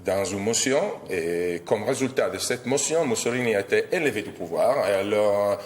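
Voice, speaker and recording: A man's voice: fairly low pitch, lively intonation, normal speed, roomy sound, long reverb tail, a little hiss or hum. An Italian man with an Italian accent, a guest actor giving an interview, hesitating often as he searches for his French words. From Italy.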